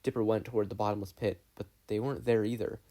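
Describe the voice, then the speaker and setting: A man with a low, dark-toned voice narrates a piece of fiction. His delivery is that of an audiobook reader, with a relaxed, mellow sound. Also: fast. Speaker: podfic reader. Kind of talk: scripted story narration.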